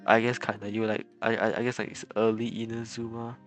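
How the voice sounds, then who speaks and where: A man's voice: lowish voice, level tone, fairly quick, faint music behind. A man livestreaming a game, chatting casually with viewers, chuckling often and stammering over repeated words. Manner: chuckles often between remarks.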